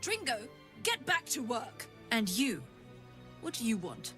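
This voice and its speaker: An actress playing a stern, no-nonsense keeper of courier dogs in a video game, speaking briskly and firmly. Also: female voice, fairly high pitch, very animated, fairly bright tone, soft background music. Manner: brisk, firm. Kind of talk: video game character acting.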